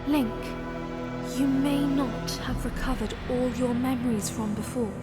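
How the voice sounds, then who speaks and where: A woman playing a gentle, solemn princess spirit who guides a hero in an audio drama. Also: high-pitched, fairly even intonation. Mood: solemn, encouraging. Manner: slow, solemn, encouraging. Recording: long echoing reverb, music behind.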